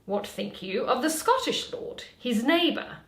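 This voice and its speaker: A woman's voice: fairly high pitch, sing-song, unhurried, a bit bright, full character voice. A woman playing a loyal, curious lady's maid in a theatrical Shakespearean reading, polite and coaxing. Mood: prompting. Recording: roomy, echoey home recording.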